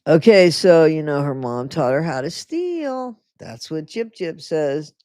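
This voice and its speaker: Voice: mid-range voice, very animated, measured pace, darkish tone, no room sound, studio quality. A livestream host reading a book aloud to viewers and breaking off for sarcastic, chatty comments, often stopping to reread a line. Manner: sarcastic asides between read passages. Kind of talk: casual live reading with commentary.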